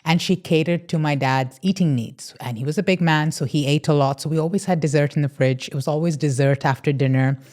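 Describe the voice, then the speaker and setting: A woman's voice: low-pitched, a little fast, few pauses, a little mellow. A woman talking as a podcast guest in a casual interview, earnest and open, with frequent "yeah" and "you know" fillers. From grew up between Dubai and Toronto, Canada. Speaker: podcast guest, emotional eating coach.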